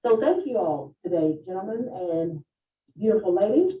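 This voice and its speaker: A woman of fifty-five moderating a panel in a formal, deliberate and courteous way. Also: mid-range voice, very animated. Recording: distant, roomy, dull lo-fi video sound.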